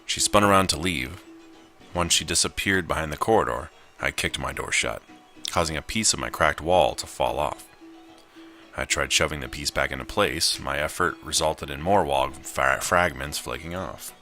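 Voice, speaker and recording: A man with an extremely low voice narrates a visual novel as he plays. His tone is bright and forceful, and faint music sits behind him. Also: moderate pace. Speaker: gamer narrating his gameplay. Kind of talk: visual novel read aloud with casual commentary.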